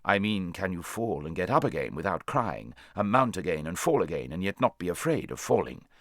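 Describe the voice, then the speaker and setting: Storybook war horse, played by a man: low-voiced, haughty, worldly, lectures grandly with wide swings in intonation. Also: sing-song, fairly quick, a bit bright, no room sound, studio quality, exaggerated voice. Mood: scornful. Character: proud male talking war horse, worldly, scornful, bossy.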